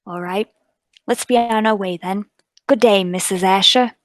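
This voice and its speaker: Woman playing a brisk, lowered-voice police inspector. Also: no echo, clean studio sound, natural voice. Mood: calm, official. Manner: businesslike, clipped. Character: brisk, calm Scotland Yard police inspector.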